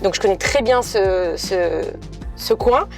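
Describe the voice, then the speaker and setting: A woman's voice: medium pitch, animated, slow pace, vocal fry, darkish tone, faint music behind. A woman from southeastern France hosting an interview in a chatty, enthusiastic and informal way. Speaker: interviewer, influencer host. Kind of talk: casual interview chat.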